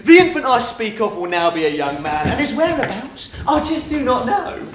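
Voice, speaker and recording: A man's voice: extremely high pitch, very animated, normal speed. A man playing a devious, boastful old crone, a gleefully wicked, hectoring pantomime villainess on stage. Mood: gleefully scheming. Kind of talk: theatrical pantomime rhyme and song. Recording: roomy stage sound with loud music.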